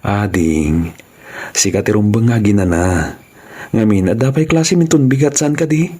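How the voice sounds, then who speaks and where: A man with an Ilocano accent acting a humble, devoted young man in love in a radio drama, with a husky voice. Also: fairly low pitch, measured pace, dark tone. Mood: gentle, caring.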